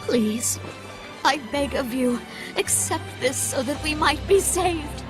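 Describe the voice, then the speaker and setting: A devout, high-voiced, anxious mother. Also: animated, takes it slowly. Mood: anxious, pleading. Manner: earnest, polite, formal. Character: devout, anxious mother pleading with her priest. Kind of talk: dubbed fantasy game cutscene acting. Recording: orchestral music behind the voice.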